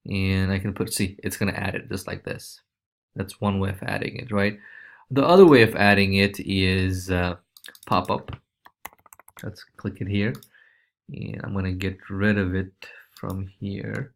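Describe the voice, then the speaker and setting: Low-pitched man giving a casual software tutorial. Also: steady tone, unhurried, gravelly. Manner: informal, loose, repeats check-in tags like okay and right. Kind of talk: casual screencast walkthrough.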